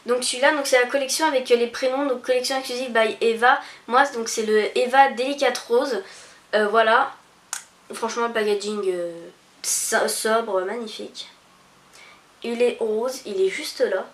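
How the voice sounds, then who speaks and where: A young woman, chatting casually through a beauty haul, full of pauses and restarts. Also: on the high side, steady tone. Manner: hesitant, many fillers and restarts. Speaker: beauty vlogger. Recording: echoey room.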